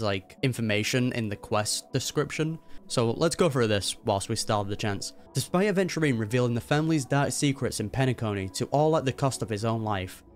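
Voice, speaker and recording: Wry man casually commenting over game music. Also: medium pitch. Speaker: reaction video host.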